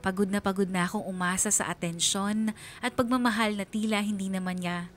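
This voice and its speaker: An actress voicing a hurt young daughter with a Filipino accent, confiding in emotional first-person radio drama narration. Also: female voice, mid-range voice, steady tone, brisk, faint music behind, natural voice. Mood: sorrowful, weary. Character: heartbroken young daughter confessing anger and hurt.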